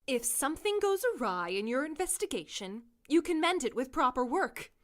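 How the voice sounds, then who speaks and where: A woman's voice: sits high, sing-song, normal speed. A woman playing an imperious mayor in an audio drama, fussy about manners, precise and lecturing.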